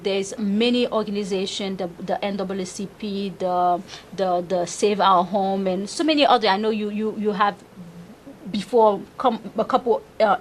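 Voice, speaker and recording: A woman's voice: mid-range voice, a little hiss or hum. A middle-aged woman with a Haitian accent, a councilmember being interviewed, talking with enthusiasm and often repeating words as she searches for them. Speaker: councilmember guest. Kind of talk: interview conversation.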